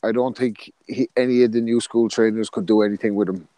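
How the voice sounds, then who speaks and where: A man's voice: lowish voice, steady tone, fairly quick, mellow, dry recording. A man with an Irish accent, a co-host on a boxing podcast, who talks in a laid-back, hesitant and self-deprecating way. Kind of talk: casual boxing chat.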